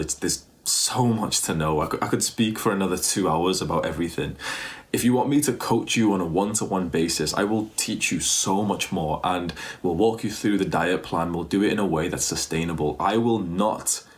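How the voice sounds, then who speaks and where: Male fitness vlogger, low voice, throat worn from talking, casual and pushy. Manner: earnest, repetitive, pushy sales talk. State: strained, tired throat. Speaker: fitness YouTuber and diet coach. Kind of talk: casual vlog monologue.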